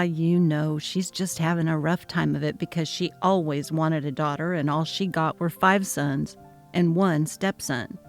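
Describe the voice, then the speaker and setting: A woman voicing a young husband who talks calmly and dismissively. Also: deep voice. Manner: calm, brushing off, patronizing. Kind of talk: dramatic reading of a text-message story.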